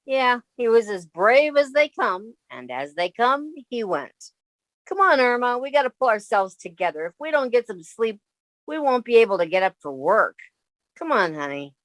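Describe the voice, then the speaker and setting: Woman acting practical female roommate, dry wit, highish lively voice, close dry sound, radio comedy. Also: normal speed, no echo. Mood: deadpan sarcasm, then anxious. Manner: wry, practical.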